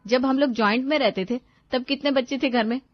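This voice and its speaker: A woman's voice: high-pitched, brisk, lo-fi sound, voice pushed up. A woman voicing a practical elder sister in a cartoon family story, lecturing and scolding in a matter-of-fact way. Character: practical elder daughter, scolding her fussy younger sister.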